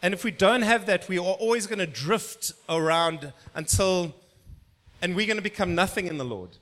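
A man preaching a sermon in short bursts, asking rhetorical questions and pausing for an interpreter. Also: high voice, fairly bright tone. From not from Brazil. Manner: emphatic, rhetorical questions, pauses for translation. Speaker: guest preacher.

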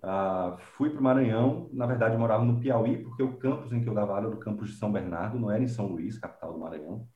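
A man's voice: on the low side, quick pace, few pauses, echoey room, phone-quality sound. A man from Bahia, a composer and professor talking unhurriedly in an interview, thinking aloud with many fillers. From Bahia, Brazil. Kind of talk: relaxed conversational interview.

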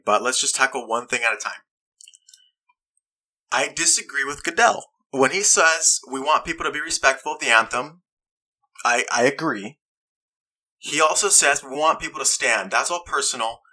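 Bright-voiced young man arguing earnestly. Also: mid-range voice, expressive, normal speed. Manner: earnest, argumentative, reads quotes aloud. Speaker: podcast host. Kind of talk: casual debate chat.

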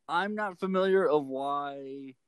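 A man from Texas in casual chat as a podcast co-host, joking and quick to correct the other speaker. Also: a little high, clean tone, dry recording, clean studio sound.

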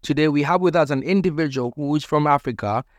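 A man's voice: fairly high pitch, fast talker, no room sound. A man hosting a sports business podcast, introducing his guest and asking questions in an upbeat, formal way. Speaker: podcast host.

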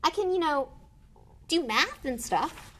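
Storybook young boy, acted by a woman: raised pitch, puzzled, earnest, questioning. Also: very animated, slow pace, a bit bright, slight background noise, full character voice. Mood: puzzled, defensive. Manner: hesitant, questioning.

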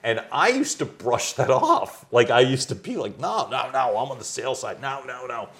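A middle-aged man from the United States, a voice coach being interviewed at home, who talks loudly and animatedly and laughs easily. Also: medium pitch. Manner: loud, animated storyteller with quick laughs. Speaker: guest, executive voice coach. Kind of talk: conversational interview. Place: his home.

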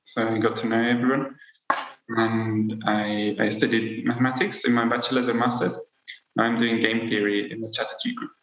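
A young man from Austria, a PhD student, introducing himself in a relaxed, straightforward way. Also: fairly low pitch, moderate pace. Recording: echoey room.

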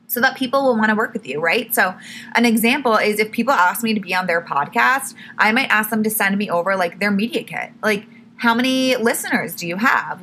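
A young woman hosts her own podcast in a loose, chatty way, full of "like" and quick self-corrections. Her voice is bright and clear, with a little hoarseness creeping in. A faint hum of the room sits underneath. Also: mid-range voice. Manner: chatty, self-correcting, says "like" and "literally" a lot. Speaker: podcast host. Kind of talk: casual solo podcast tips.

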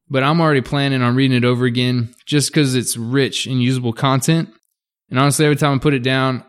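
A man's voice: mid-range voice, smooth. A man hosting a podcast, introducing the episode and welcoming a guest in an upbeat, enthusiastic way. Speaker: podcast host. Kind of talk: scripted episode intro and interview questions.

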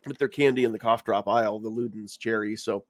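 A man's voice: fairly low pitch, steady tone, fairly quick, dry recording, clean studio sound. Stuffy-nosed man talking around a lozenge. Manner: talks around a lozenge in his mouth. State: congested, getting over a cold. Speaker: podcast host. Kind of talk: casual live-stream chat.

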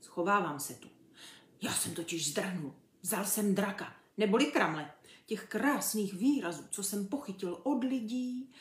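A woman's voice: medium pitch, lightly acted. A woman voicing a cheeky, boastful young male chimpanzee for a children's story, chatty and brash. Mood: self-satisfied. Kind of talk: children's story character reading. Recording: roomy echo.

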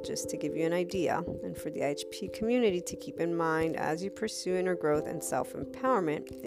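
A woman hosting a podcast on a headset mic, speaking loudly and enunciating her words carefully, getting passionate as she goes. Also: on the low side, vocal fry. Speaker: podcast host. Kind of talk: scripted intro then casual podcast talk.

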